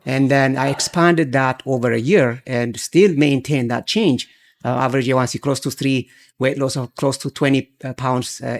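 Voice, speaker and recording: A man from Ethiopia, a physician guest on a podcast taped at a conference, speaking with many uh fillers and throat clearing. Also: medium pitch. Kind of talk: podcast interview. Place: conference venue.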